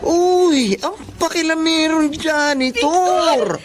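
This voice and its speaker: A man's voice: very high-pitched, takes it slowly. An actor with a Filipino accent playing a taunting, lecherous young male student bully in a melodramatic radio drama. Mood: angry, threatening. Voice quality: taunting, leering delivery.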